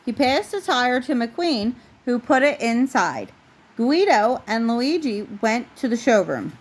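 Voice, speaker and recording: A woman with a fairly high voice narrates a children's picture book. She reads it aloud in the style of a parent at bedtime. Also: big pitch swings, slow pace. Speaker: parent reading a bedtime story. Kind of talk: storybook read-aloud narration.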